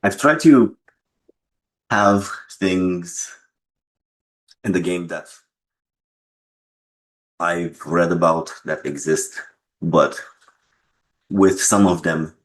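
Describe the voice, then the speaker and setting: A man answering interview questions as a game developer, explaining casually in measured short phrases with pauses between them. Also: low voice, low-quality recording.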